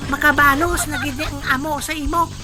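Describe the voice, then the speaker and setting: Brief: performer acting as a very high-voiced, impish schemer for comic audio drama, giddy, exclamatory self-talk, music underneath. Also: fairly even intonation, moderate pace, natural voice. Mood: sneaky glee. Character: scheming, hungry, impish banana thief, giddy and vengeful.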